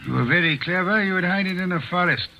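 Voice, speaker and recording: An actor playing an imperious, fastidious middle-aged detective in old radio drama, speaking in precise, measured phrases. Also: male voice, high-pitched, vocal fry, sharp tone, lo-fi sound, slight background noise, exaggerated voice. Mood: lecturing. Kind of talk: 1940s radio acting.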